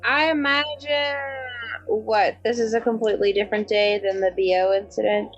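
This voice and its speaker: A woman's voice: medium pitch, fairly even intonation, moderate pace. A woman playing in a tabletop roleplaying game, casually narrating her character in a sassy, playful way. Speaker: player in a tabletop roleplaying podcast. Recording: dull recording with music underneath. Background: music.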